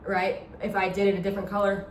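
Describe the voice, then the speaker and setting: A woman's voice: mid-range voice, monotone, quick pace. A woman instructor explaining step by step in an informal, demonstrative lecture style. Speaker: instructor demonstrating a talk box. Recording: echoey, distant mic with background noise.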